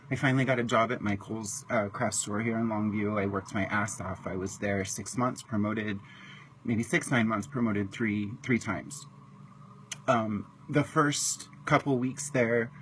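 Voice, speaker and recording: Hesitant low-voiced man in his thirties. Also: fairly bright tone, slight background noise, poor audio. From Washington state, US. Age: 30s. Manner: halting, full of ums, emotional and candid. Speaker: vlogger telling his own story. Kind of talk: personal testimony to camera.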